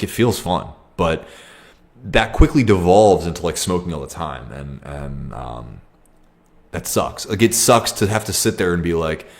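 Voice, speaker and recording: A young man hosting a casual livestream Q&A, talking reflectively and haltingly with many ums and restarts. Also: low voice, creaky. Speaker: livestream host. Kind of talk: casual livestream Q&A, reading comments.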